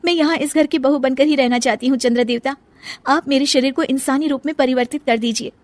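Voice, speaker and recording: A woman's voice: high-pitched, fairly even intonation, fairly quick, no room sound, pitched above the performer's own voice, natural voice. A woman voicing an anxious, meek young shape-shifting serpent daughter-in-law in a cartoon story, in a pleading, apologetic manner. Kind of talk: Hindi cartoon moral story.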